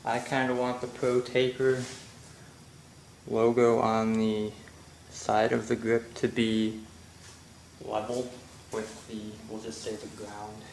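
A man casually explaining a motorcycle grip install while working in his garage, talking slowly with many fillers. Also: mid-range voice, flat delivery, creaky, a little mellow. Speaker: motorcycle vlogger. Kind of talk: casual how-to narration. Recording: echoey garage with faint ambient noise.

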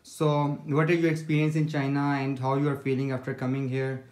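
A man's voice: medium pitch, monotone, fairly quick, darkish tone. Pakistani man vlogging in an echoey room. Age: 20s. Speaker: student vlogger. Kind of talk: casual vlog narration and interviewing. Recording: echoey rooms, faint background noise.

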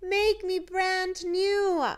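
A woman voicing a shivering, cheerful, childlike male snowman in a storybook, exclaiming with drawn-out, dramatic words. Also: very high-pitched, flat delivery, voice pushed up, heavily acted. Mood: pleading.

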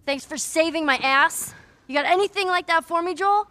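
A woman's voice: very high voice, moderate pace, pushed and bright, dry recording. An actress playing a feisty, foul-mouthed teenage girl survivor in a video game, with an American accent and a blunt, sarcastic manner. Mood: hurt, indignant. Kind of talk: video game cutscene acting.

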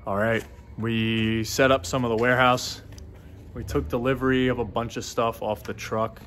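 A man's voice: mid-range voice, level tone, moderate pace, noisy background. A young man in his twenties filming himself with a phone at arm's length in an empty warehouse, thinking aloud with fillers and restarts. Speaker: small business owner vlogging. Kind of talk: casual vlog narration. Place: empty warehouse and office, indoors. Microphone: phone camera held at arm's length.

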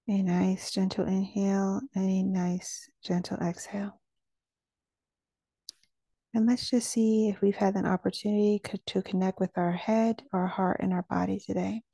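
A woman guiding a meditation in a gentle, calming manner. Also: medium pitch, moderate pace, creaky, husky, dry recording. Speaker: meditation guide. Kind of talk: guided meditation narration.

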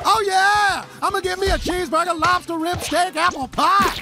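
A woman's voice: very high-pitched, normal speed, loud music behind the voice. A boisterous cyborg guy. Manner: loud, pushy, enthusiastic.

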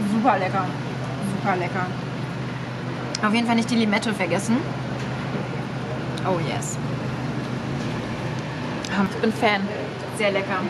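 A young woman vlogging casually about food, with bubbly, enthusiastic comments as she tastes. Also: medium pitch. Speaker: travel vlogger. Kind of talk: casual food vlog chat. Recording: loud street noise behind.

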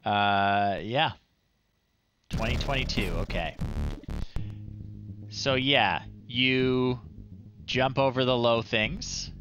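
A man's voice: mid-range voice, drawn-out words, pauses often, a bit bright. A middle-aged man giving casual, wry commentary on a live retro game stream. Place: small room indoors. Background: retro chiptune game music.

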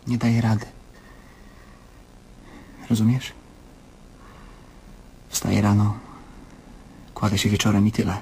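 A man's voice: lowish voice, darkish tone, slight background noise. An actor playing an anguished, searching male patient in a radio drama, with a plaintive, weary, questioning manner.

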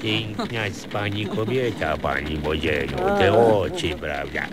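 Cantankerous, low-voiced old grandfather. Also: lively intonation, a little slow, a loud crowd. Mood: flirtatious. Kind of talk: comic radio play acting. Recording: noisy old radio recording.

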